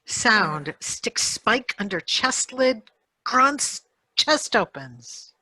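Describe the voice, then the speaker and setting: Very slow, bright-voiced woman reading cues. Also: on the high side, sing-song, no echo, low-quality recording. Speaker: sound effects reader. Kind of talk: reading out sound cues flatly for a radio play. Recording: online video-call performance.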